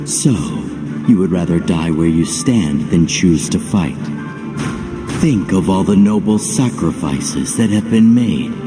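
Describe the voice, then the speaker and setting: An actor voicing a solemn, commanding, disembodied guide in a video game, grave and portentous. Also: male voice, low-pitched, lively intonation, slow pace. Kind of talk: video game cutscene acting. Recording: reverberant hall echo, music behind.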